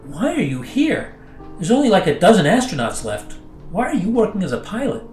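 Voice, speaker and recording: A man playing an earnest, easily rattled pilot in an audio drama, halting and indignant in his delivery. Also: high voice, wildly swooping pitch, darkish tone, roomy sound, soft background music. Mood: confused, insistent. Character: defensive, flustered, earnest male pilot. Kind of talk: naturalistic audio drama dialogue.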